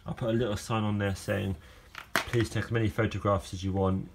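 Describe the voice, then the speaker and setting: A man's voice: lowish voice, level tone, fairly quick, background noise. A man casually talking through a project while filming it on his phone outside a garage, rambling with fillers and restarts. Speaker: car owner vlogger. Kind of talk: casual how-to vlog. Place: outdoor garage yard. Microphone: phone camera held while filming.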